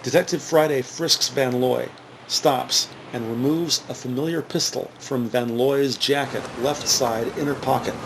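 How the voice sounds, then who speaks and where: A man narrating a scripted crime drama in a measured, matter-of-fact way. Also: medium pitch, fairly even intonation, vocal fry, slight background noise, low-quality recording. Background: music.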